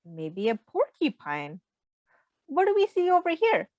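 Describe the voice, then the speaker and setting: A woman's voice: sits high, wildly swooping pitch, unhurried, a little mellow, no echo, highs cut off. A woman reading a picture book aloud to young children, patiently explaining and asking simple questions like a teacher.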